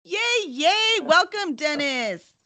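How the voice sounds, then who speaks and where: A woman's voice: high voice, very animated, slow pace, pushed and bright, soft background music. A woman hosting an interview podcast in an upbeat, casual and playful way, with some mock-serious joking. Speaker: podcast host and editorial director. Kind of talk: upbeat scripted intro and casual interview chat.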